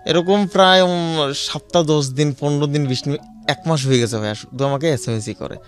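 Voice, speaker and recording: A Bangladeshi man telling a personal story conversationally, haltingly and with repeated phrases, often checking that he is followed. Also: a little high. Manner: repetitive, halting telling with frequent check-in questions. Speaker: guest telling his life story. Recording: music behind the voice. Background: background music.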